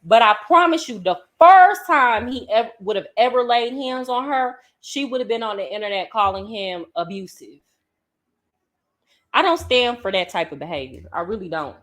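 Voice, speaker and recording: Vlog host, woman, from the States, chatty and emphatic, speaking voice pitched above average. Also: lively intonation, normal speed, fairly bright tone. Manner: chatty, emphatic, conversational slang. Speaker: reaction vlogger and travel coach. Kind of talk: casual reaction commentary. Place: home in Colombia.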